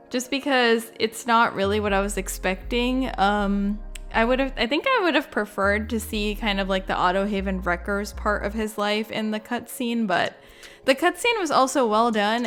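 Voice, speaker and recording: A woman's voice: mid-range voice, a bit bright. Casual woman commentating over music. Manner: informal, thinking aloud. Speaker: video game content creator.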